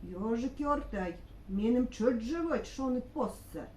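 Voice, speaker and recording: A woman's voice: fairly high pitch, animated, takes it slowly, darkish tone, slight background noise. A woman voicing the forest animals in a puppet show, pleading and drawing out her calls. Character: forest animals, hare, fox and wolf, wheedling for houses. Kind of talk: puppet theatre acting. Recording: echoey room.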